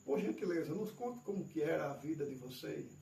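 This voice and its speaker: A man's voice: on the high side, lively intonation, fairly quick, gravelly, dark tone, far from the mic. A man with a Northeastern Brazilian accent, a pastor interviewing a guest in a polite, ceremonious, formal church style. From Pernambuco, Brazil. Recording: echoey room from afar with faint noise.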